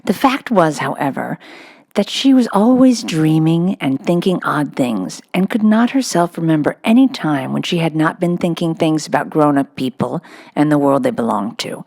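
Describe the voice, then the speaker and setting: A woman's voice: low-pitched, a little mellow. A woman reading a children's novel aloud as narrator, in a scripted storybook style.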